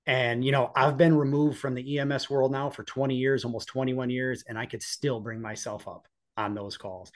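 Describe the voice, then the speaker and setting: American man in his forties telling stories. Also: a little high. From northeastern United States. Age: 40s. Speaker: podcast guest, police officer and former EMT. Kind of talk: conversational personal storytelling.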